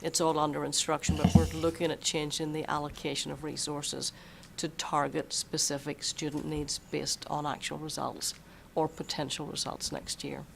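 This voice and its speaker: A superintendent who is losing their voice a bit, explaining a budget at a school board meeting in a measured way and repeating words while thinking. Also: mid-range voice, little pitch movement, creaky, a bit bright, slight background noise. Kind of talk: budget presentation at a public meeting.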